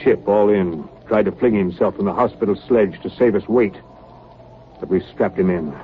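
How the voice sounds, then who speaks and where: Male actor as stern Arctic captain, low-voiced, terse and commanding, 1930s radio style, faint noise. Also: moderate pace, lightly acted. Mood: grim, weary. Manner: clipped, terse log-like delivery and barked orders. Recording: muffled, noisy 1930s radio broadcast recording.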